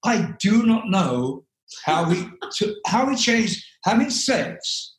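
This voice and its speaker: An older English man with a loud speaking voice, telling rambling stories as an interview guest, with many fillers and frequent laughs. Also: sits high, big pitch swings, slow, reverberant, low-quality recording. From England. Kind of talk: relaxed storytelling interview.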